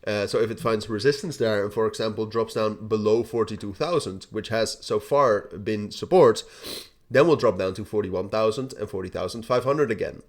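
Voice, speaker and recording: A young man with a stuffy, nasal voice hosting a casual crypto update, rambling with many restarts and "all right?" checks. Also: lowish voice. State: blocked nose. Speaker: crypto channel host. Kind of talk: casual market update to camera.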